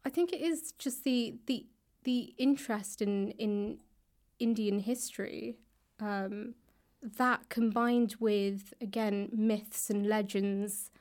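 Southern British woman, tentative, mid-pitched. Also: fairly even intonation, slow pace, a little mellow. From London, England. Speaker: interview guest, author and actor. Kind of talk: casual chat. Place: indoors, in a library.

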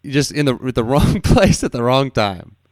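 A man's voice: medium pitch, sing-song, moderate pace, a little mellow, dry recording, clean studio sound. A man hosting a podcast, chatting casually with a guest in a jokey, crudely humorous way. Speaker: podcast host. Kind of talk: casual interview chat.

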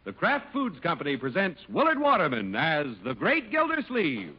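A man's voice: fairly high pitch, wildly swooping pitch, normal speed. An American man announcing in polished, emphatic 1950s radio style, reading scripted ads and introductions. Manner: polished, emphatic sales delivery. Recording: muffled old radio broadcast over music.

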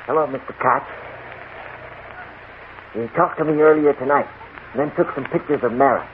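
An actor playing a curt, guarded and threatening killer with a gun in 1940s radio drama style. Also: male voice, medium pitch, normal speed, lightly acted. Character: tense, menacing male killer with a gun. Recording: scratchy, tinny 1940s radio broadcast.

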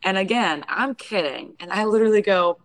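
A young woman from Houston. She is a singer being interviewed, and she tells a story in a lively way, acting out what other people said. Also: medium pitch, fairly quick, dry recording, rough recording. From Houston, Texas. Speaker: guest singer.

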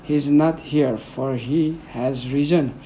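A man's voice: mid-range voice, slow, slight background noise, low-quality recording. A man from Nepal with a Nepali accent, a Newari native speaker, talking hesitantly as a guest and reading scripture aloud. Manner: soft-spoken, hesitant with fillers. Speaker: guest reader. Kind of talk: conversational interview, then Bible reading.

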